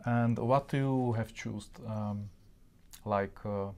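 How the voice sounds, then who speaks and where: Male interview host, non-native English, halting delivery, narrow and monotone pitch movement. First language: not English. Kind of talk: interview with greetings to an audience.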